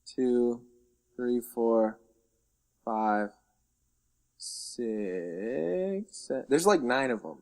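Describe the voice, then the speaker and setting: Slow-paced man, jokey casual podcast co-host. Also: mid-range voice, expressive, a little mellow. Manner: jokey, repeats words for emphasis. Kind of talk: casual banter about games and films.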